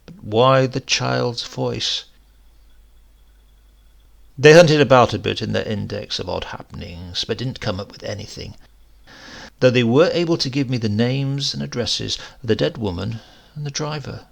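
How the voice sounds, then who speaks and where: A man reading a first-person horror story aloud in a dramatic, confiding and expressive manner. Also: mid-range voice, unhurried, creaky. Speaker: story reader.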